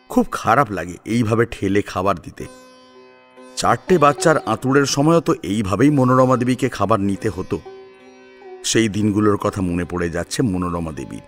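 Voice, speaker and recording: A man with a lowish voice reads a dramatic story as its narrator. His intonation rises and falls widely and expressively, and light music plays behind him. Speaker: narrator and co-presenter.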